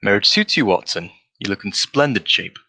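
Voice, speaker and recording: A man playing a brisk, self-assured gentleman detective in a 1940s-style radio drama, speaking precisely with a lightly condescending, lecturing air. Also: lowish voice, lively intonation, heavily acted, low-quality recording. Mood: brisk, confident.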